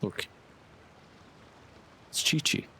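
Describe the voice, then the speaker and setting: A man's voice: extremely slow, bright, forceful tone. A man streaming a game, giving casual, offhand commentary in brief reactions. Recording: game music behind the voice. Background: game music.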